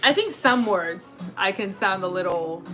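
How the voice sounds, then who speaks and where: A woman's voice: mid-range voice, expressive, measured pace. American woman livestreaming casually over music. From United States. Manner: reads out chat comments and replies conversationally. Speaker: livestream host. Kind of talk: casual chat with live viewers. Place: home kitchen while cooking. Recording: music playing behind the voice.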